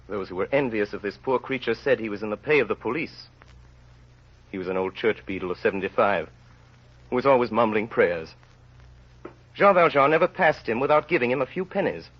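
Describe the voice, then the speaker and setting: A man narrating a story in old-time radio style, with solemn, theatrical, deliberate phrasing. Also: mid-range voice, breathy edge. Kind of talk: 1930s radio dramatic storytelling. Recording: dull lo-fi old radio recording.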